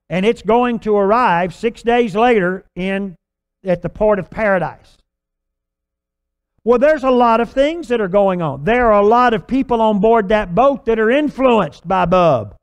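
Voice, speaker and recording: Older male pastor, high clear voice. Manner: animated, gesturing storyteller with filler restarts. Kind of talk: storytelling sermon. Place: large church stage with purple lights.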